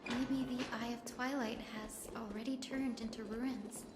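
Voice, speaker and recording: A woman playing a gentle, earnest young priestess in a dramatic video game story. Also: a little high, steady tone, unhurried, close to an everyday voice. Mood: thoughtful. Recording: echoey long reverb, loud game music behind.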